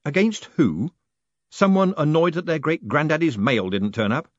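A man's voice: a little high, lively intonation, normal speed, no echo, pitched above the performer's own voice. A man doing a sarcastic, impatient swindler. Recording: dull lo-fi recording.

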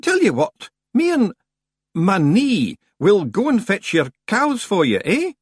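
A shifty, mysterious stranger from a storybook. The accent is broad Scottish and the voice is pushed up high, with big swoops in pitch. He blusters through his excuses in hurried dialect. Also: huge pitch swings, slightly rough, dry recording, clean studio sound, exaggerated voice. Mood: blustering, evasive.

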